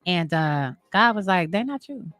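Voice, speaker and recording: A woman in her thirties chatting informally as a talk show guest, telling stories in an animated way. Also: fairly low pitch, unhurried, no echo, faint music behind. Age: 30s. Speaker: talk show guest, marketing and branding entrepreneur. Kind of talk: casual interview chat.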